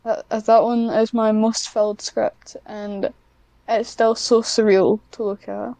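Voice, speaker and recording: Hesitant woman, slow and softly mellow. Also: on the high side, level tone, dry recording. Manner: hesitant, self-deprecating. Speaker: guest, hobbyist audio script writer. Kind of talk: casual interview chat.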